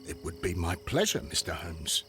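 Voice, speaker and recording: An actor playing a polite, deferential police constable in a video game. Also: male voice, low-pitched, big pitch swings, moderate pace, bright, forceful tone, slight background noise, full character voice. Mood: obliging. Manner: polite, obliging.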